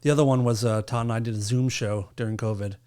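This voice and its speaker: A man's voice: mid-range voice, fairly even intonation, quick pace, a little mellow, clean tone. Casual, irreverent male podcast co-host. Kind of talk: casual banter.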